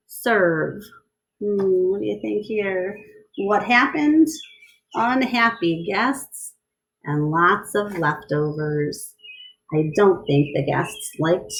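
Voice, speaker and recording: A woman reading a picture book aloud to children in an expressive style, pausing to question and explain things to her young listeners. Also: mid-range voice, poor audio. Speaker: read-aloud storyteller.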